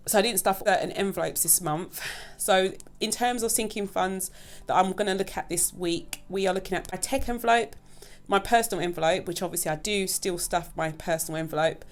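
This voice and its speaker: A woman's voice: lowish voice, a little fast. A woman hosting her own budgeting channel, talking casually and chattily to her viewers. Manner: chatty, keeps addressing viewers as "guys". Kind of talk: casual vlog chat.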